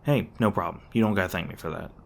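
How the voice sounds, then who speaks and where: Man acting a low-voiced, brash monster hunter. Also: fast, gravelly. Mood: casual, teasing. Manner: stammering when flustered, brash and sarcastic. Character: gruff, edgy monster hunter, defensive but secretly soft-hearted. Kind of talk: ASMR roleplay voice acting.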